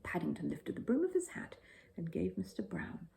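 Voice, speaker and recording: Mellow, expressive woman storyteller. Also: fairly low pitch, moderate pace, gravelly, whispered. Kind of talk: scripted bedtime story narration.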